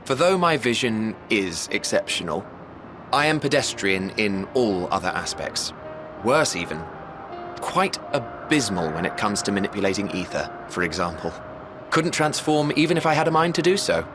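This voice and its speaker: Genial ancient man, lowish pitch, eloquent, wry, self-effacing, game cutscene, clear background music. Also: very animated, moderate pace. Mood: wry, reflective. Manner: eloquent, gently teasing, formal phrasing. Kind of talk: video game cutscene acting. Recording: music behind the voice.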